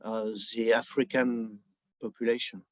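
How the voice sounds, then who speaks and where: Slow-talking Frenchman in a roomy, muffled recording. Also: medium pitch, little pitch movement, fairly bright tone. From France. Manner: halting, searching for words in non-native English. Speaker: podcast guest, book editor. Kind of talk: conversational political commentary. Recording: dull, roomy, lo-fi remote connection.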